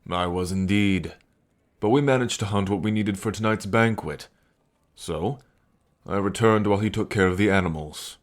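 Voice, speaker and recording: A man playing a composed, needling Norse hunter god in a fantasy audio roleplay, measured and sardonic. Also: fairly low pitch, lightly acted. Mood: courteous.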